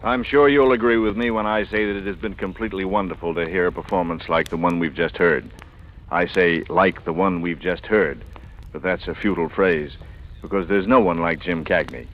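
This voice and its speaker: Man, low voice, courtly radio host, formal phrasing, muffled 1940s recording. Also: normal speed, faint music behind.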